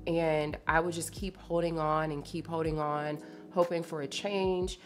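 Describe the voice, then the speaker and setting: A woman's voice: low-pitched, flat delivery, unhurried, soft background music. A woman in her late twenties with a congested sound, chatting casually and confidingly as a solo podcast host in slangy, informal language. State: congested from allergies. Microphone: podcast microphone at a desk.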